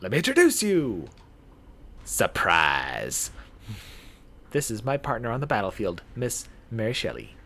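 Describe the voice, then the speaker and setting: A man's voice: on the low side, wildly swooping pitch, unhurried, long pauses, pushed and bright, voice pushed up, exaggerated voice. A man voicing a mischievous, showy battle mage in a visual novel, exclamatory and theatrical. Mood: gleeful, showy. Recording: clear background noise.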